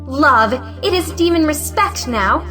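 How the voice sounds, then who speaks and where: A woman's voice: high voice, animated, measured pace, pushed and bright, faint music behind, heavily acted. A woman playing a frank, defiant young unmarried lady, earnest and plaintive, in a theatrical audio play. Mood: indignant. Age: young adult. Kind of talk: theatrical audio drama acting of a 1917 play.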